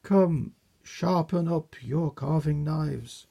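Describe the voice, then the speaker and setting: A reader doing a greedy, shouting mob. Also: medium pitch, takes it slowly, creaky. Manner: excited and greedy.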